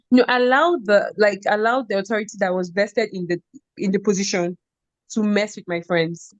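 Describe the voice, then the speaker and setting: Animated Nigerian woman telling school stories. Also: mid-range voice, normal speed, no room sound, muffled recording. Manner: animated storytelling, with frequent laughter and "do you get?". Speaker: podcast guest, former head girl. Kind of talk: casual conversational interview.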